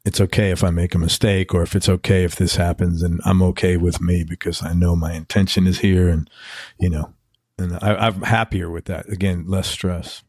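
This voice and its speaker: A man in his fifties hosting a casual interview, relaxed and loose-tongued, with a voice that sounds younger than his age. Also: sits low, moderate pace, no room sound, studio quality. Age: 50s. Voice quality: youthful-sounding voice. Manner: relaxed, swears freely, calls guest "man" and "bro". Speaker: interview host.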